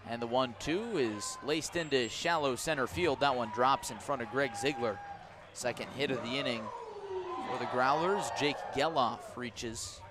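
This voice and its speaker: A man with an American accent doing conversational live radio baseball play-by-play, mixing clipped pitch calls with storytelling and wry asides. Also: fairly bright tone. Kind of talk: live radio sports commentary mixed with storytelling.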